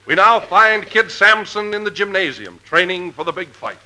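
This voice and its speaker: A man's voice: high-pitched, expressive, moderate pace, very noisy. A man delivering scripted narration as an announcer in 1940s radio style.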